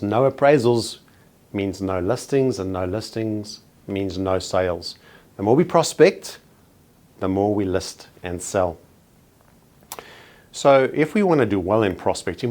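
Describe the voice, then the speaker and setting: A man with a South African accent coaches real estate agents in a motivational training talk. His voice sits a little low, and he drives home each point with short paired contrasts, slowing on the key words. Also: darkish tone.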